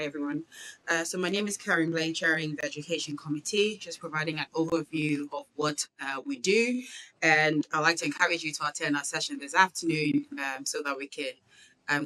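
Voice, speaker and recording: A woman chairing an education committee, who gives a steady, fluent overview at a conference with occasional fillers. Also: sits low, rough recording.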